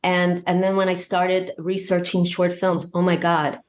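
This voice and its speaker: A woman talking casually as an interview guest, excited and halting, often repeating words as she goes. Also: on the low side. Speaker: podcast guest, audio drama creator.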